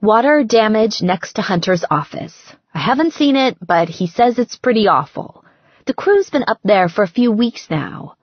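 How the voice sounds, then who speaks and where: A woman's voice: mid-range voice, expressive, normal speed, no room sound, lo-fi sound, voice pushed up, close to an everyday voice. A woman voicing a frantic, bossy, dramatic bride-to-be in an audiobook, emphatic and slangy. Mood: exasperated, shrill. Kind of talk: audiobook character dialogue.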